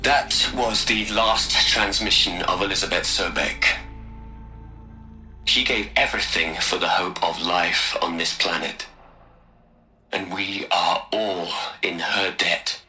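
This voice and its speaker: Solemn scientist doing a game audio log, low voice, very tense edge, precise. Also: male voice, fairly even intonation, slow, sharp tone, soft background music, full character voice, low-quality recording. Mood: mournful. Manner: formal, precise. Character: grave, earnest male scientist recording a solemn log.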